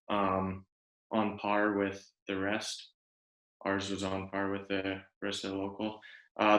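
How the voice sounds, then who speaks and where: Echoey, slow, low-pitched young Canadian man. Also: fairly bright tone. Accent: Western Canadian. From Alberta, Canada. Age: young adult. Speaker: student presenter. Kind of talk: informal student presentation. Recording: echoey room sound.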